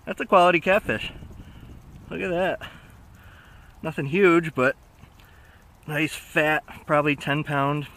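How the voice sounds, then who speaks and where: A man's voice: on the high side, fairly even intonation, takes it slowly, long pauses, fairly bright tone. A man vlogging as he fishes, chatting casually in slangy exclamations to himself and a companion. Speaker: vlogger fishing from shore. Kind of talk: casual excited fishing chat. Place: outdoors on a riverbank. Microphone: action camera worn on the body. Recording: outdoor action camera with clear background noise.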